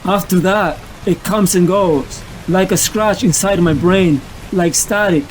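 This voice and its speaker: A tormented vigilante played by a man narrates in a high-set male voice. He is sick and losing his grip, confessing it all in a brooding, dramatic comic-book monologue. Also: measured pace, a little hiss or hum. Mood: brooding, troubled.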